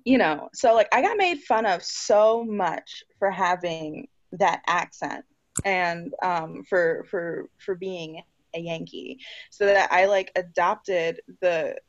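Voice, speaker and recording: A woman's voice: fairly low pitch, takes it slowly, dry recording, lo-fi sound. Chatty, laughing young woman guest. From New Jersey and the U.S. Virgin Islands. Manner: animated, laughing often, lots of fillers like "like" and "you know". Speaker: interview guest. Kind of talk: casual conversational storytelling.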